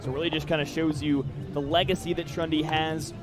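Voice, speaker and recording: A man's voice: fairly high pitch, flat delivery, a little fast, over music. A young American man giving live radio play-by-play commentary of a baseball game. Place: outdoor baseball stadium broadcast. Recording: live outdoor ballpark crowd noise. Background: crowd and outdoor ballpark ambience.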